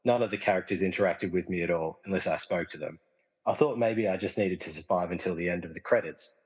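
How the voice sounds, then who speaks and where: A man playing an easygoing, casually explaining film lover trapped in a movie, in a comedy radio play, with a husky voice and long reverb. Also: low voice, fast talker, lo-fi sound. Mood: casual, explanatory. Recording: long reverberant hall echo.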